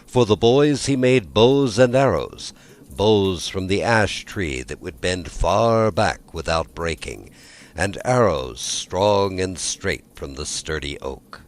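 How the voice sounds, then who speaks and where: A male storyteller with a low voice reads a fairy tale. He takes his time and swings widely in pitch, placing dramatic emphasis on key words. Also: animated, takes it slowly. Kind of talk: scripted fairy tale narration.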